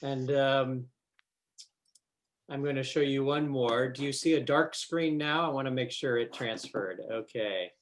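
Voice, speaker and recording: A man presenting a webinar in casual, unscripted, halting and apologetic speech over a computer call. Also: medium pitch. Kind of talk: casual, unscripted screen-share talk. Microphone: computer on a video call. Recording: noisy webinar call with clicks.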